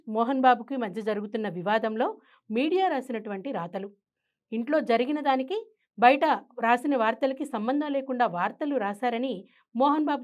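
A woman's voice: fairly high pitch, a little mellow, dull audio. A woman presenting scripted news commentary.

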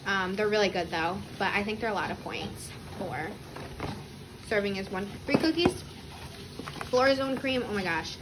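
A woman's voice: mid-range voice, lively intonation, normal speed, noisy background. A woman vlogger chatting informally and casually in a home kitchen. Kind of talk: casual chat, narrating a grocery haul and kitchen routine.

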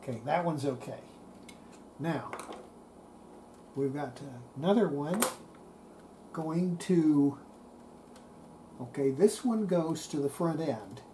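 A woman's voice: deep voice, animated, takes it slowly, long pauses. An older woman giving casual hands-on commentary at a workbench as a radio restorer, muttering to herself while working. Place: cluttered indoor electronics workbench. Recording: noisy workshop room recording.

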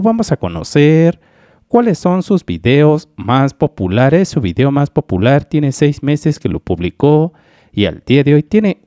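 A man's voice: medium pitch, warm tone, no echo, studio quality. A man from Mexico talking viewers step by step through a calculation in a screen-recorded explainer voice-over, calling them his dear friends. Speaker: YouTube channel analyst.

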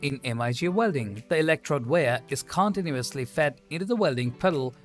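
Guy with a slightly high voice reading an explainer script, smooth and even, soft music behind. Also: brisk. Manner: even, polished, no fillers. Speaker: channel narrator.

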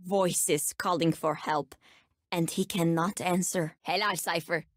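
A woman acts out a sardonic, menacing bounty hunter from a video game, a woman who wields nightmares. Her voice sits on the low side and carries a Turkish accent. Every line lands cool, deliberate and ominous, in a dry, close recording with no room around it. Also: fairly even intonation, dry recording, natural voice. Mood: ominous, measured. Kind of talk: video game character voice lines.

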